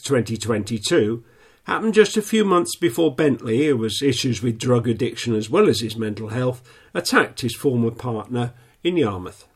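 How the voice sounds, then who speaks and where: A man reading local news in a plain, steady way, a little snuffly with a stuffy nose. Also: mid-range voice, husky. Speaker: newsreader.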